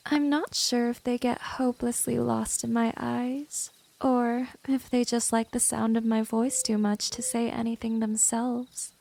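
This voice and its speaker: A woman's voice: fairly high pitch, darkish tone. A woman playing a teasing, domineering vampire in an ASMR roleplay, talking straight to the listener in a condescending, seductive way. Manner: slow, condescending, seductive, breathy drops into whisper. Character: smooth, teasing, domineering female vampire, gently predatory.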